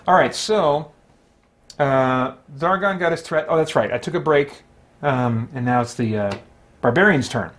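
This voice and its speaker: A man hosting a solo tabletop game, giving casual, unscripted commentary and haltingly thinking aloud as he plays. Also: mid-range voice, a bit bright.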